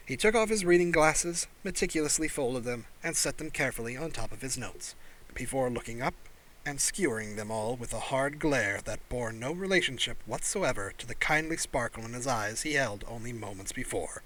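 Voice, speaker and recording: Bright-voiced male story narrator. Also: medium pitch, slight background noise, poor audio. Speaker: audiobook narrator. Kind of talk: scripted story narration with character dialogue.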